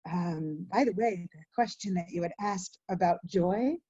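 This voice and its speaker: A woman hosting an online commemoration, speaking informally and reflectively in an earnest, emphatic manner with deliberate stresses. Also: fairly low pitch, warm tone, slightly rough. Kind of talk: informal reflective webinar talk. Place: indoors on a webinar call. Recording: muffled, roomy online webinar audio.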